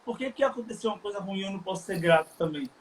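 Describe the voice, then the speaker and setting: A man from Salvador with a Bahian accent, speaking earnestly and haltingly in a casual chat, with many fillers. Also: high voice, animated, slight background noise, low-quality recording. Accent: Bahian Brazilian Portuguese. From Salvador, Bahia, Brazil. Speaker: live stream guest.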